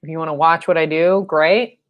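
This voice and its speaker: A young woman with a low voice, leading a live-stream painting lesson at home, chatty and rambling with fillers, recorded by a fixed camera mic in a room. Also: steady tone, brisk, lo-fi sound. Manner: rambling and chatty, with fillers and playful sound effects. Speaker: painting instructor. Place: home studio room, indoors.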